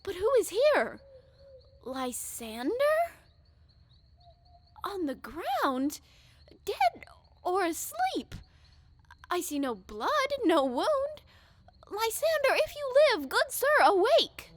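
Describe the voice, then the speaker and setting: A woman's voice: very high-pitched, huge pitch swings, takes it slowly, long pauses, background noise, full character voice. A woman playing a lovesick, self-pitying young woman in a Shakespearean audio drama, pleading melodramatically. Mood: alarmed.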